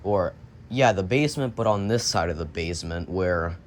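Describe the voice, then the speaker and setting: A young man with a cold, his voice deep and raspy, rambling casually as he films a tour of his home basement. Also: expressive, moderate pace, a little hiss or hum. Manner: rambling, repeats and restarts as he shows things. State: sick, coughing. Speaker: vlogger.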